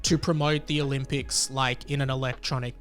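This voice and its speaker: A man's voice: mid-range voice, flat delivery, fairly quick, a bit bright. A man hosting a video, giving informal, opinionated commentary straight to his viewers. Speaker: video host.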